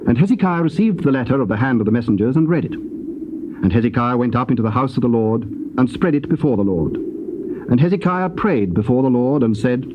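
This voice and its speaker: A man's voice: mid-range voice, expressive. Formal male scripture narrator, noisy tape. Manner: formal, measured. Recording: noisy, muffled old cassette tape.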